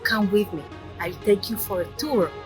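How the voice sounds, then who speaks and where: A woman speaks in a measured, slightly way as she presents her center. Her voice sits mid-range and rings in an echoey room. Light music plays underneath. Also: mid-range voice. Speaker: director and founder of a training center. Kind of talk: guided-tour presentation.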